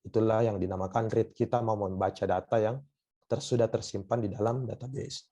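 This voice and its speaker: A hoarse man with a cough, giving a university lecture online and often pausing on filler sounds. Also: on the low side, fairly even intonation, mellow. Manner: hesitant, many filler sounds.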